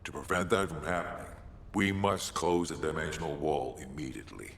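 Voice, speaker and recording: A man playing a grave military leader in a game, speaking solemnly and with authority in a gravelly voice. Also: a bass voice, brisk, a bit bright, heavily acted. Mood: resolute. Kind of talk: scripted game dialogue. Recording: echoey large hall with faint noise.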